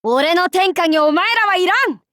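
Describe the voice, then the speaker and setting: A woman playing a brash, cocky young feudal warlord in an anime game, with rough, masculine, archaic and boastful speech. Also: very high-pitched, animated, normal speed, a bit bright, no room sound, studio quality. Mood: defiant, proud. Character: brash, cocky young feudal warlord, self-styled fool, fiercely loyal. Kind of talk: anime game character voice acting.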